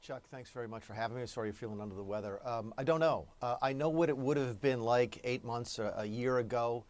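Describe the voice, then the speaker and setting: A man answering questions as a guest in a live television interview, speaking hesitantly with many fillers and restarts. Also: mid-range voice. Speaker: interview guest, former official.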